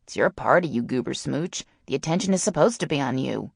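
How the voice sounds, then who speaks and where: A woman's voice: extremely low pitch, expressive, brisk, creaky, breathy edge, no echo, studio quality, full character voice. A woman voicing a brash, sarcastic, mischievous teenage girl in an audiobook, wordy and teasing. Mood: mock indignant. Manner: wordy, teasing, mock-haughty.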